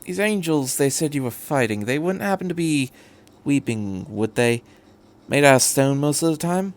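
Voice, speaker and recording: A man voicing a brisk, quirky time-travelling alien in a story reading, breezy and matter-of-fact in conversation. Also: fairly high pitch, expressive, natural voice. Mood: curious.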